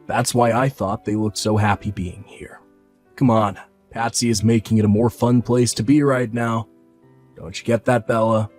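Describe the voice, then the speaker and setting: A man voices a careless, teasing brother with a lowish voice who brushes off every complaint. His tone stays dismissive and mocking. Soft music plays faintly underneath. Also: steady tone, normal speed, lightly acted.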